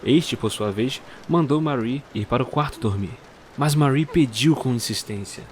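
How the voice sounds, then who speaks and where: A man narrating a dark Christmas tale in a measured, suspenseful manner that speaks directly to the listener. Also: medium pitch, a crowd in the background. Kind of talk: scripted storytelling narration.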